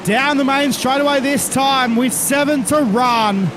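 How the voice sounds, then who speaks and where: Casting note: Australian male race commentator, high voice, engine noise heard under the mic. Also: measured pace. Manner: calls the action with driver and car details, says mate and reckon. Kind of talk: sim racing commentary. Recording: noisy broadcast mix with engine noise.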